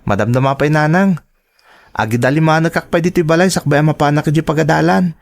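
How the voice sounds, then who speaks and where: Casting: earnest, devoted student son, slightly high male voice, Ilocano accent, tender radio drama delivery. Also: fast talker, gravelly. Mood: caring. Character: devoted, caring teenage or young-adult student son.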